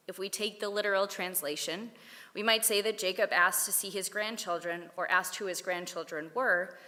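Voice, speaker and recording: A woman giving a prepared Torah talk at a service, with a measured, deliberate delivery. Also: mid-range voice, sharp tone. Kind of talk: prepared sermon read aloud. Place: synagogue sanctuary. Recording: long hall reverb.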